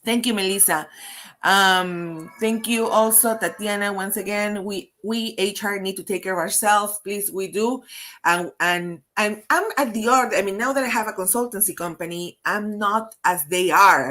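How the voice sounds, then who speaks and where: A woman with a Peruvian Spanish accent, an HR consultant hosting a live webinar over a video call, speaking in halting phrases with many restarts. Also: medium pitch, a bit bright. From Peru. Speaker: host, HR consultant.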